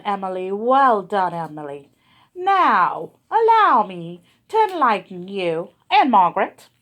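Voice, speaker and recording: Woman putting on a solemn 1800s gentleman writer, slow and lively in pitch, lowered voice. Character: stately 19th-century male author, grave and self-serious. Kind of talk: school skit recitation.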